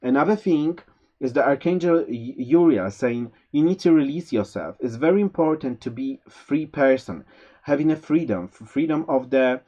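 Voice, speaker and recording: A man with a Polish accent reading a tarot forecast, giving gentle spiritual advice with many fillers and restarts. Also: mid-range voice, animated, moderate pace. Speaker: tarot reader. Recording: slightly dull lo-fi sound.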